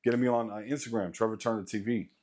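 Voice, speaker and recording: A man in his late thirties with a deep voice, giving a blunt, profane motivational rant to his viewers as a vlogger. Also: steady tone, quick pace, a little mellow. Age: late 30s. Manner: blunt, profane, emphatic repetition. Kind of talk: casual motivational rant to camera.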